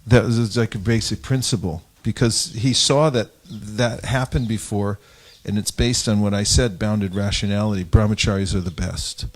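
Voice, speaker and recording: A man speaking as a religious teacher in an informal group discussion, explaining his thoughts in a halting, reflective way. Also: on the low side, fairly even intonation. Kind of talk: informal spiritual discourse.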